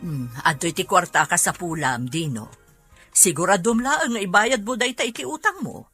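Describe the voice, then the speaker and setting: A woman's voice: lowish voice, lively intonation, normal speed, fairly bright tone, husky, soft background music. A woman acting in an Ilocano radio drama, playing a nagging, reproachful woman who shames someone over debt. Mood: scolding.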